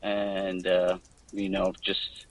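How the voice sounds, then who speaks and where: A man's voice: low voice, monotone, extremely slow, slight background noise, poor audio. A man who fights professionally, giving casual, blunt answers off the cuff on a media conference call.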